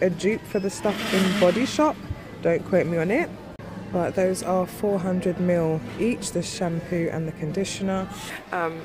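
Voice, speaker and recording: A woman with a cold-stuffed nasal voice, chatting casually through a shop walkthrough on a handheld phone. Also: lowish voice. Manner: chatty, filler-heavy. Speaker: beauty vlogger. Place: shop aisle, indoors. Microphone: handheld phone camera.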